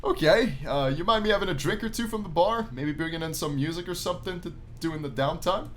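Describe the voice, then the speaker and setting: A man's voice: a little high, quick pace, lightly acted. A man voicing a young male night security guard, a casual, unsure newcomer with questions, in a visual novel game. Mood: uneasy, questioning.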